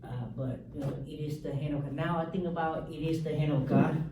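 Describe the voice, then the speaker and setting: A man from South Vietnam, giving a halting church testimony full of fillers and joking asides. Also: fairly high pitch, steady tone, background noise. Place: church hall. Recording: echoey hall, mic from far off.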